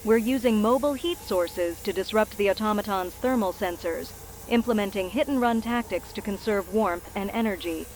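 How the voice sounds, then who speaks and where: A woman's voice: mid-range voice, normal speed, darkish tone, a little hiss or hum, natural voice, poor audio. A woman playing a businesslike military captain briefing a reporter in a sci-fi radio drama. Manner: businesslike, tactical. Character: businesslike female military captain in snowy battle.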